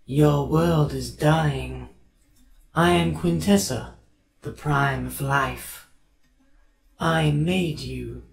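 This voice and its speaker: A man voicing a commanding, menacing female alien robot from a film, his voice processed with pitch-shifting effects. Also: gravelly, music in the background, full character voice, poor audio. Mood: cold, commanding. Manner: slow, imperious, declamatory. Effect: layered pitch-shifted, garbled metallic robot modulation.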